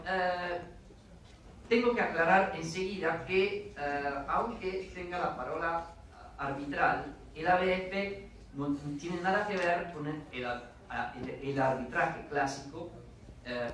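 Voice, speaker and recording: A man with a foreign accent delivers a university lecture, often hesitating and restarting. His fairly high voice rings through a very reverberant hall. It is captured from a distance with faint noise underneath. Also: unhurried, a bit bright. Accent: foreign accent in Spanish. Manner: hesitant, many fillers and restarts. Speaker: guest lecturer. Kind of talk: academic lecture. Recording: echoey hall, mic from a distance.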